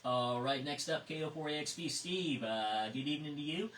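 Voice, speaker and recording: A man's voice: on the high side, normal speed, fairly bright tone. A man casually hosting an amateur radio net as net control, sometimes repeating his words. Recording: roomy, distant, with background noise.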